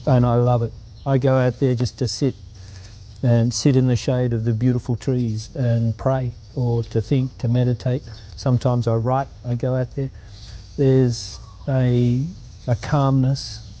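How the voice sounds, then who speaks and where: A man's voice: medium pitch, little pitch movement, unhurried, warm tone, lo-fi sound. A middle-aged man with an Australian accent, answering interview questions in an earnest, reflective way. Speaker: guest.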